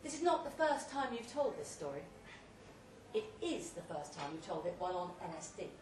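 A woman giving a theatrical, deliberate live reading of a comic short story, with dramatic pauses. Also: lowish voice, sing-song. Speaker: story reader at a live literary event. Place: pub event room, before an audience. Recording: roomy, distant live recording, faint noise.

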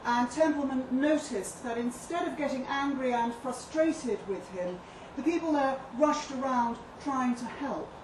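Woman acting out earnest female author, articulate, measured monologue, distant mic, noisy echoey venue. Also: a little high. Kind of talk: dramatic monologue read live. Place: live reading in a pub venue. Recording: distant echoey live room, noisy background.

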